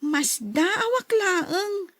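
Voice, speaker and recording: Very high-voiced, earnest schoolchild. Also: female voice, very animated, very slow, a bit bright, dry recording, exaggerated voice. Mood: earnest, pleading. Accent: Ilocano. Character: earnest, questioning schoolchild pleading with mother. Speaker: radio drama actor. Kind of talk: Ilocano radio drama acting.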